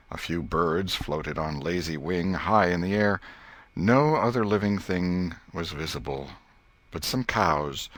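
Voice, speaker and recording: Low-voiced man narrating an audiobook. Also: fairly bright tone, dry recording. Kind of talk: scripted literary narration.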